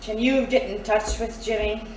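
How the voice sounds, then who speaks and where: A woman playing a hard-boiled, sardonic and quietly threatening underworld woman in an audio drama. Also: on the high side, fairly even intonation, moderate pace, lightly acted. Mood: hard, resolute. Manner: sardonic, controlled, menacing. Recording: roomy, distant, faint noise.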